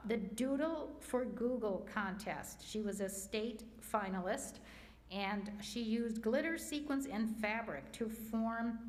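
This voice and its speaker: A woman moderating a community forum, talking casually with fillers as she introduces the next speaker. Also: mid-range voice. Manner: chatty with fillers and restarts.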